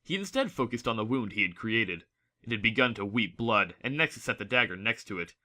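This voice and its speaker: A man's voice: lowish voice, animated, brisk, pushed and bright. A man narrating a fantasy story from a script in a dramatic audiobook style.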